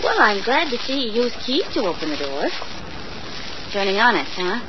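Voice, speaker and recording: A woman's voice: mid-range voice, big pitch swings, normal speed, close to an everyday voice. An actress playing a detective's strong-willed, teasing girlfriend in 1940s radio drama style, with emphatic, theatrical delivery. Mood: teasing, curious. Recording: muffled, very noisy old radio recording.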